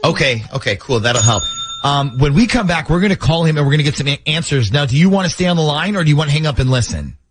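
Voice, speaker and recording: A man's voice: fairly high pitch, lively intonation, quick pace. A man hosting a morning radio show, talking through a prank call segment.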